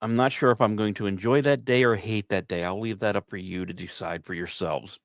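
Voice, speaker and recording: A man's voice: lowish voice, fairly even intonation, a little fast, vocal fry, no echo, clean studio sound. A man hosting a science podcast, delivering semi-scripted commentary with wry asides and the odd stumbled, repeated word.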